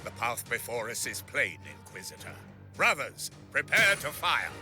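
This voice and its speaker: A man playing a stern, noble warrior knight commander in a video game cutscene, formal and forceful. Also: medium pitch, big pitch swings, moderate pace, loud music behind the voice, natural voice. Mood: commanding.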